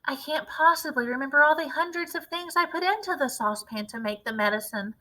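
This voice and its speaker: Woman as a hesitant high-voiced young farm boy. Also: brisk, bright, forceful tone, poor audio. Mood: pleading, worried. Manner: pleading, questioning, tentative. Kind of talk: storybook character read-aloud.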